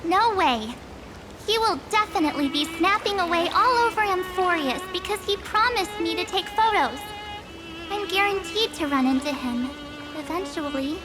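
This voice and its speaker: A woman's voice: very high voice, moderate pace, music in the background. A woman playing a perky, stubborn teenage girl in a video game, bubbly, informal and a little boastful. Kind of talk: video game character acting.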